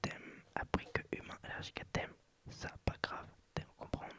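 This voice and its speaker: A man's voice: normal speed, sharp tone, natural voice. A man whispering the lines of a cute, odd little cat-dog creature in broken, childlike phrasing. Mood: silly.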